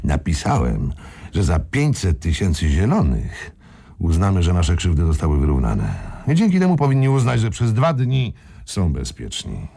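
A scheming gang boss in a radio thriller. His voice is deep, dark and husky, with plenty of gravel. He talks colloquially, cocky and mocking, sure he is in command. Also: wildly swooping pitch, normal speed, heavily acted. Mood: confident. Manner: colloquial, self-assured. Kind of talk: radio crime drama acting.

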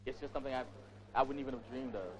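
An American man speaking informally and a little haltingly in an interview. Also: medium pitch. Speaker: poet being interviewed. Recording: muffled outdoor sound with clear noise.

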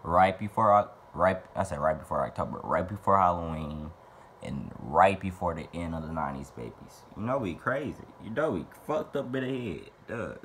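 Deep-voiced young man, loose and profane. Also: lively intonation, normal speed, fairly bright tone, slight background noise. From Little Rock, Arkansas. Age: 20s. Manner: loose, profane, rambling and playful. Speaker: vlogger answering fan questions. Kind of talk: casual Q&A monologue to camera. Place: small bedroom indoors.

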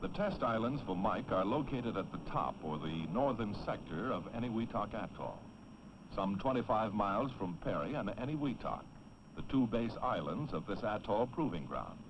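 A man's voice: on the low side, sing-song, moderate pace, dull audio. American man narrating a noisy 1950s film. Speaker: on-camera host and narrator. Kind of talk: scripted 1950s documentary narration.

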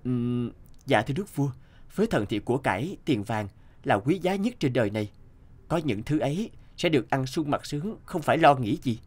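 An animated folktale hero, young, brave and kind, acted by a man. His delivery is earnest and slightly hesitant, with very lively swings in pitch. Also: moderate pace, close to an everyday voice. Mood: humble.

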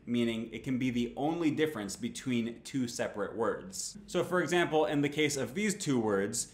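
Man explaining vowels in a roomy room. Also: mid-range voice. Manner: clear, patient and explanatory. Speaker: language teacher. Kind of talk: educational explainer to camera. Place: small home room with bookshelf.

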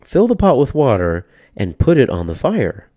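A man's voice: medium pitch, huge pitch swings, moderate pace, mellow, no room sound, studio quality, voice pushed up. A man voicing a hungry young man in a storybook, a clever trickster with an upbeat, persuasive manner. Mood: coaxing.

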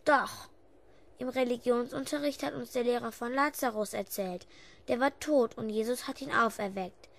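A female performer playing a stubborn, earnest, grieving little girl with a creaky voice in an audio drama. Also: fairly high pitch, fairly even intonation, normal speed. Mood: stubborn, pleading. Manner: earnest and insistent.

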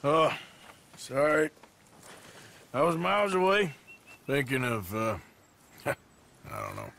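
An actor playing a weary, gruff middle-aged outlaw enforcer who talks bluntly. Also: male voice, on the high side, big pitch swings, a bit bright, a little hiss or hum, exaggerated voice. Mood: distracted. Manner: gruff, blunt, slow-spoken. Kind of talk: video game cutscene acting.

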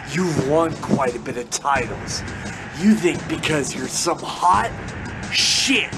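Man cutting a theatrical wrestling promo. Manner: theatrical, swings between loud shouted taunts and low muttering. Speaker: hobby wrestler in character. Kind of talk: scripted wrestling promo, trash talk. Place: indoor room with window blinds. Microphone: webcam close to face. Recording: music clearly playing behind.

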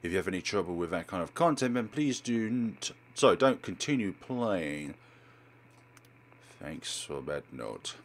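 A man's voice: deep voice, big pitch swings, a little slow. A man hosting a let's play, reading game text aloud and commentating with wry, theatrical asides. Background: game music.

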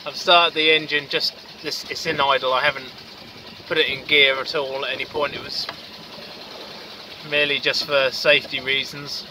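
A man's voice: medium pitch, little pitch movement, moderate pace. A man in his thirties on the deck of a small sailboat, talking to his camera in a matter-of-fact way with some ums, and tired. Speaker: solo sailor vlogger. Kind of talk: casual video diary. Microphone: camera mic at arm's length. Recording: windy outdoor camera audio at sea. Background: wind and water noise.

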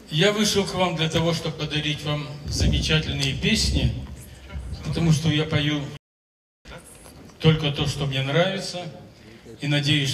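A man in his seventies, a singer, telling his story on a concert stage into a handheld microphone, in a measured, declamatory way. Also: a little high, noisy background. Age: 70s. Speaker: singer giving a testimonial on stage. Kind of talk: stage speech to an audience. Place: large concert hall stage. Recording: roomy hall sound from a distance.

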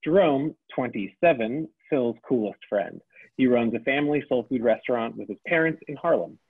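A man's voice: medium pitch, lively intonation, moderate pace, slightly rough. A man reading stage directions and character notes aloud at a script table read, on a thin recording. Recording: thin, phone-like, band-limited sound.

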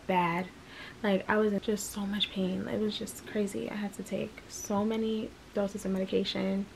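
A young woman chats straight into a close camera in her small bedroom, loose and candid, trailing off into fillers. Her mid-pitched voice carries a gravelly fry at the ends of lines. A faint hiss of room noise sits underneath. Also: level tone, normal speed, poor audio. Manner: chatty, candid, rambling with fillers. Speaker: vlogger. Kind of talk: casual vlog talk to camera. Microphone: camera close at arm's length.

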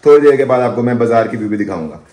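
A man's voice: mid-range voice, expressive, very fast, warm tone, dry recording. A young man chatting casually to viewers as a travel vlog host, speaking into a handheld phone camera. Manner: chatty, addresses viewers directly. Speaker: vlogger host. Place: busy night bazaar outdoors. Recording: crowd noise of a busy street market.

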